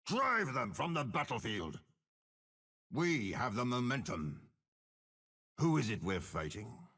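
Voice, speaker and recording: An actor playing a cold, cunning old warlord general in a video game, gravelly and commanding, with measured, disdainful delivery. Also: male voice, mid-range voice, sing-song, sharp tone, heavily acted. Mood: commanding, stern. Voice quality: gravelly, husky. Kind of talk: dramatic game character voice lines.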